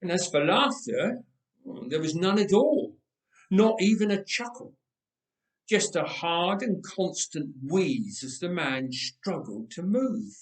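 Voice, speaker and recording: Unhurried male storyteller in an echoey room. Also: high-pitched, far from the mic. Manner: measured, expressive delivery with deliberate pauses. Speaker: storyteller reading aloud. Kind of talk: dramatic scripted story narration. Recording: roomy, echoing indoor sound.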